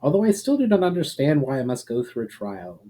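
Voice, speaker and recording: A man's voice: medium pitch, sing-song, brisk, mellow. A man voicing a noble male elf warrior in a fantasy storybook, earnest, courteous and formal. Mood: sincere, gentle.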